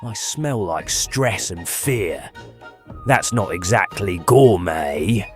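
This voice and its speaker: A man's voice: low voice, animated, slow, faint music behind, a faint crowd. A man voicing an anxious, put-upon elf puppet who complains with fretful sarcasm in a children's show. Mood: indignant.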